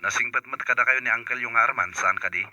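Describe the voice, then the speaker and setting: A man's voice: mid-range voice, fast, sharp tone, no room sound. A man playing a caring, plain-spoken father in a radio drama, in a dull, narrow recording. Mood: instructing. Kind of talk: Ilocano radio drama acting.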